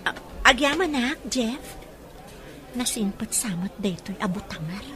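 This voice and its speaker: High, bright, coy young woman. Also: expressive, unhurried. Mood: coy, friendly. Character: young working woman dreaming of America, sweetly scheming. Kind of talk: Ilocano radio drama acting.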